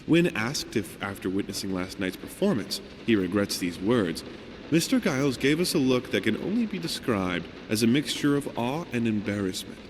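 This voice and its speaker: A low-voiced reporter in long reverb. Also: male voice, big pitch swings, moderate pace. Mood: grandiose reporting. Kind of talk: scripted dramatic news-style narration. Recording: long hall reverb, faint background noise.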